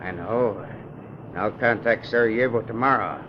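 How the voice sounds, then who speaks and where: An actor playing an anxious, skeptical crooked lawyer in old-time radio style. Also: male voice, fairly low pitch, moderate pace, natural voice. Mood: doubtful. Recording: noisy, dull vintage radio recording.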